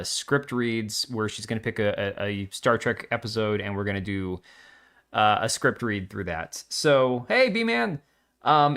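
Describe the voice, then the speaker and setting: A middle-aged man running a live stream, with a voice of ordinary pitch for a man. He rambles loosely from point to point and tosses off quick jokes in answer to chat. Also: a bit bright. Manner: rambling, jokey, reacts to chat with quick asides. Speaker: livestream host.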